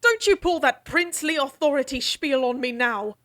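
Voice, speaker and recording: A woman voicing a defiant young noblewoman with a high, bright-pitched voice. She speaks sharply and pushes back hard against a prince. The tone is quick-witted and challenging. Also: expressive. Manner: sharp, challenging, flustered when caught. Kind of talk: fantasy audio drama acting.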